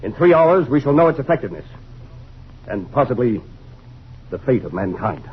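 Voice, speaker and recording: An actor playing a stern, weary army general in a vintage science fiction radio drama, speaking with clipped authority. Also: male voice, mid-range voice, sing-song, normal speed, lightly acted. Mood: grave. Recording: muffled, noisy old radio recording.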